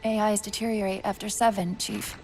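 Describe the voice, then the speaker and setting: A woman voicing a brisk, loyal female AI companion in a video game cutscene, speaking in clipped lines that sometimes drop to a hush. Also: medium pitch, flat delivery, soft background music, lightly acted. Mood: strained. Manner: brisk and clipped, drops to hushed tones.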